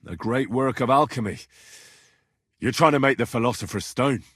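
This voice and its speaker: Young medieval adventurer for a game, kinda husky, earnest n blunt, gets exasperated, very dry studio sound. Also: male voice, medium pitch, normal speed, a bit bright, dry recording. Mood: earnest, conversational. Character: young, plain-spoken medieval adventurer, wry and impatient. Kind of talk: video game dialogue acting.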